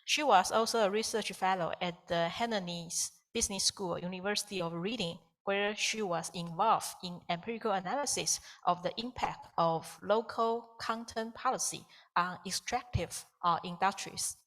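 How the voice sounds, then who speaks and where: Woman chairing a conference panel, halting delivery, echoey hall sound. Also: medium pitch, a bit bright. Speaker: session chair, moderator. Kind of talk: moderating a conference session.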